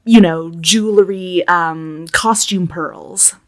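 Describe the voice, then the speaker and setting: A woman's voice: on the low side, takes it slowly. A woman casually describing things as a player in an improvised roleplay game, feeling her way tentatively through the details. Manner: tentative, peppered with "like".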